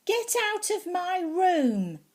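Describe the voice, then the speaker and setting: Stroppy storybook little girl, played by a woman: high, loud, whiny, swooping through tantrums. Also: big pitch swings, takes it slowly, heavily acted. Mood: furious, defiant. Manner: shouting, whiny, dragging out words.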